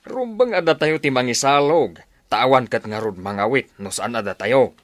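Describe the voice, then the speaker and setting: A man's voice: medium pitch, expressive, moderate pace, dry recording, lightly acted. A man with an Ilocano accent playing a stubborn villager in a radio drama. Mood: defensive. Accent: Filipino, Ilocano.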